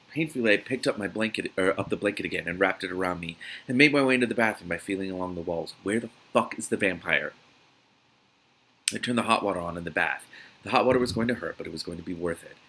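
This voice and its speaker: A man reading a novel aloud as host of a reaction series, in a dramatic, expressive manner. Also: low voice, slight background noise, low-quality recording.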